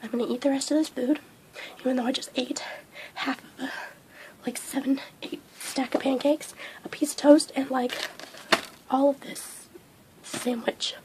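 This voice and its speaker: A young woman vlogging a breakfast mukbang, hoarse and losing her voice from a cold, rambling with lots of fillers. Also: high-pitched, fairly even intonation, moderate pace, pauses often, whispered, poor audio. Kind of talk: casual mukbang vlog chat. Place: indoors at home under a hot light.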